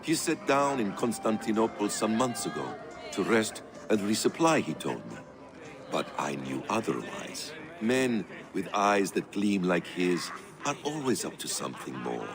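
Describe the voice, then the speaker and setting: An actor playing a shrewd, courteous and mysterious foreign traveler, speaking in measured, reflective game dialogue. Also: male voice, fairly low pitch, sing-song, crowd noise. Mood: courteous, intrigued. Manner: measured, polite, storytelling. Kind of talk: dramatic game cutscene dialogue.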